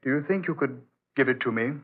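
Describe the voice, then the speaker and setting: An actor playing a troubled, evasive gentleman doctor in a 1940s-style radio horror drama, courteous and genial but guarded. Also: mid-range voice, quick pace, natural voice. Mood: hesitant, guarded. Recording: thin, phone-like old radio recording.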